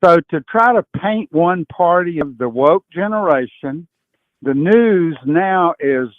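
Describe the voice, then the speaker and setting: A man's voice: fairly high pitch, expressive, takes it slowly, no room sound. Elderly male caller on tinny phone line. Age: 80s. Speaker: caller to a political talk show. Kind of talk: opinionated political talk. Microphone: phone call.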